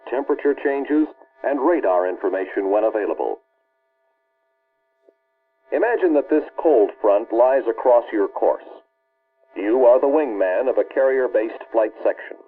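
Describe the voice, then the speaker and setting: An American man reads scripted instructional narration for an early 1960s military film in a formal, authoritative, measured way. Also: medium pitch, breathy edge. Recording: muffled, band-limited old film soundtrack.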